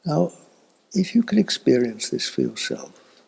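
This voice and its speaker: An elderly man giving a slow, reflective spiritual talk in a husky, breathy voice that often fades toward a whisper, with long thoughtful pauses. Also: medium pitch, huge pitch swings, dark tone. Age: older, elderly. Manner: contemplative, halting with long pauses. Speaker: spiritual teacher.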